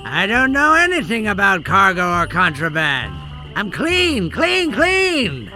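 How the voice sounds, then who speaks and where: A man's voice: high-pitched, wildly swooping pitch, slow pace, pushed and bright, soft background music, full character voice. An actor playing an eccentric, hard-of-hearing old laundryman in a pirate video game, eager and muddled. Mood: eager, cranky. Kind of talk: cartoonish video game voice acting.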